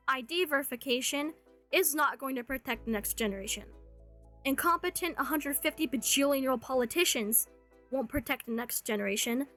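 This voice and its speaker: A woman's voice: high voice, a bit bright. A woman hosting a commentary rant, speaking in an emphatic, sardonic way. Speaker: commentary channel host. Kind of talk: opinionated rant with joking sign-off.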